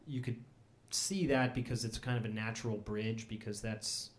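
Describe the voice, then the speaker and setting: A man's voice: on the low side, steady tone, moderate pace. A man interviewing a musician, building long, thoughtful questions.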